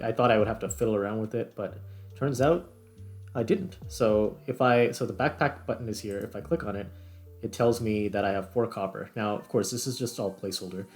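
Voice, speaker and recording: A man's voice: mid-range voice, a little mellow. A man hosting casually, thinking aloud in informal speech as he comments on his screen recording. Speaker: video host, game developer.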